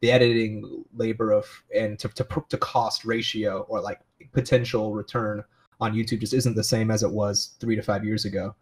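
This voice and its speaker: A man's voice: lowish voice, little pitch movement, normal speed. A man hosting an interview, asking long, searching questions. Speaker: podcast host.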